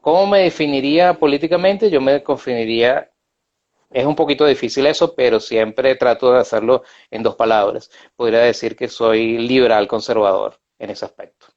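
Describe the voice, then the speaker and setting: A man's voice: mid-range voice, moderate pace, darkish tone. Venezuelan guy, middle-aged, chatting on a video call, lots of ums and asides, kinda muffled audio. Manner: chatty, many filler hesitations and self-asides. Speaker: interview guest, economist. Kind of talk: casual live video interview.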